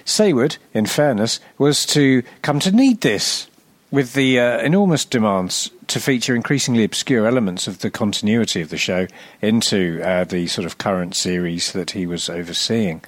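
A man reading a scripted, humorous narration as a podcast presenter, with a wry, deadpan, sardonic delivery. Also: fairly low pitch, normal speed, no room sound.

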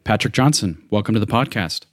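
A man with a very low, deep voice hosts a podcast. He speaks deliberately and reads a scripted intro, and steady music plays clearly beneath his words. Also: dry recording, clean studio sound. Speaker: podcast host. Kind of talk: scripted intro and interview questions. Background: background music.

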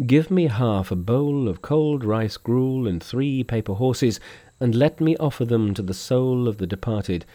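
A man's voice: mid-range voice, lively intonation, measured pace, mellow, no echo, lightly acted. A man voicing a pious, anxious monk master in a storybook, speaking formally and earnestly. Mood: pleading.

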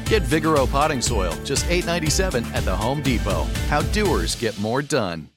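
Male ad voice over loud music. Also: mid-range voice, expressive, normal speed. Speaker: ad announcer. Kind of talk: scripted commercial read.

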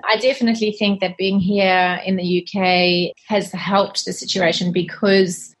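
A woman's voice: mid-range voice, level tone, a little slow, rough recording. Earnest South African woman guest. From Zimbabwe and South Africa. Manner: earnest, hesitant, self-deprecating, laughs softly. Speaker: cleaning company cofounder, guest. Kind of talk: casual interview chat.

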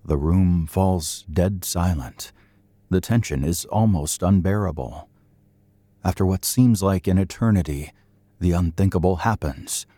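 Deep, mellow male drama narrator. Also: a little slow, no room sound.

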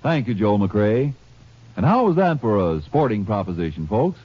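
An American man working as a sponsor's announcer, giving a chatty 1950s radio sales pitch. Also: very animated, moderate pace, slightly rough. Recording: muffled vintage radio recording.

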